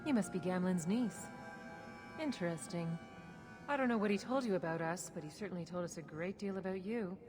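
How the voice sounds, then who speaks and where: A woman acts a shrewd smuggler boss from a video game. Her voice is low, cool and measured with a wry edge, set over loud music. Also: lightly acted. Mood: cool, appraising. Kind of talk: video game dialogue acting.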